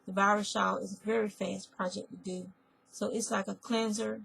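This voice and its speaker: Hoarse, gravelly-voiced woman chatting. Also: medium pitch, little pitch movement, normal speed, a bit bright, rough recording. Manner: rambling, chatty, stops to correct herself. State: hoarse, losing her voice. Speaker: fiber arts vlogger. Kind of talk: casual chat.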